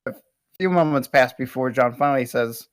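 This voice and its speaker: A man narrating stage directions at an amateur table read, reading haltingly with small stumbles. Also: medium pitch, clear, resonant tone, no echo, clean studio sound.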